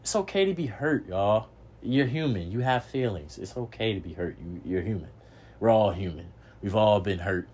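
A man's voice: fairly low pitch, lively intonation, normal speed, darkish tone, breathy edge. A young man hosting a podcast, giving a preachy motivational monologue, repeating himself and swearing casually. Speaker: podcast host.

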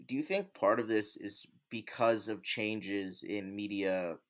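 A man with a moderately low voice speaks as a podcast co-host. His delivery is conversational and tentative, circling back over phrases as he works out each point. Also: slow. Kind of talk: casual discussion.

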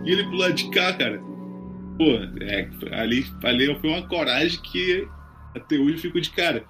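Lively higher-pitched Brazilian man joking over music. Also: moderate pace. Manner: jokey, very slangy. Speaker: podcast host. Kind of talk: casual, humorous chat. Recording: clear music bed behind the voice. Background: instrumental music.

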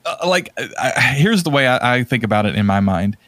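A man in a casual movie discussion, with a medium-pitched voice. He rambles and restarts his thoughts as he goes. The sound is dry, with very little room in it. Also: sing-song, a little fast, a bit bright, dry recording. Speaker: podcast co-host. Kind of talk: casual chat about movies.

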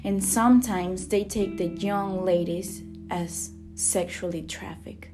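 A woman's voice: mid-range voice, fairly even intonation, slow. A woman from Rica, earnestly reading a scripted spoken piece. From Costa Rica. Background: guitar music.

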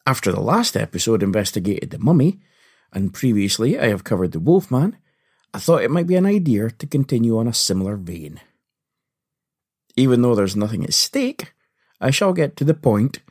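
A man hosting a podcast with scripted comedic narration, husky in voice and playful, punning and theatrical in delivery. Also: lowish voice, darkish tone. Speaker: podcast host. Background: faint music.

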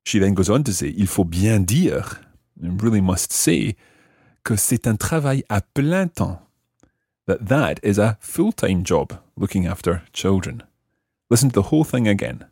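A man presenting a language lesson, explaining phrases didactically and repeating them deliberately. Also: lowish voice, animated, darkish tone, husky, dry recording, clean studio sound. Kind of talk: explaining French grammar phrase by phrase.

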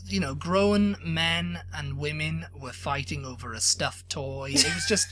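A man's voice: fairly high pitch, a little slow, faint music behind, low-quality recording. A man with a Yorkshire accent, co-hosting a podcast in casual chat, joking and teasing as he tells stories. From England. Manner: joking, teasing, lively storytelling. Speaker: podcast co-host.

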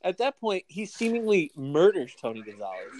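Animated, incredulous podcast co-host. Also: medium pitch, moderate pace. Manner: animated, incredulous, stammers when excited. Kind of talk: casual movie recap chat.

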